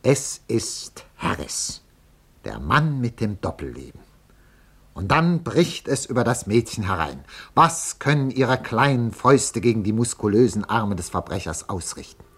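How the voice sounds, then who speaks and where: An actor playing an unctuous, sensation-hungry radio reporter in a 1950s German radio play, theatrical in delivery. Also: male voice, fairly low pitch, very animated, measured pace, slightly rough, exaggerated voice. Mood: breathless, sensational. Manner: theatrical, swinging from declaiming to hushed confiding.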